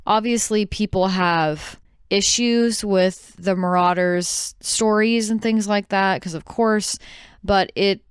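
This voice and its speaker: Very smooth, clear-voiced woman podcast host. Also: medium pitch, fairly even intonation, slow pace, no echo, studio quality. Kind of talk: casual podcast discussion.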